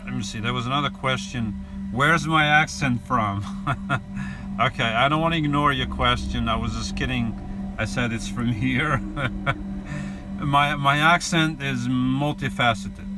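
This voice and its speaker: A man's voice: mid-range voice, unhurried, over music. A man in his forties with a New York accent, chatting easygoing on a live stream from his van and answering viewer questions with many fillers. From New York and New Jersey. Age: 40s. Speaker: vlogger. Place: inside a van. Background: inverter hum.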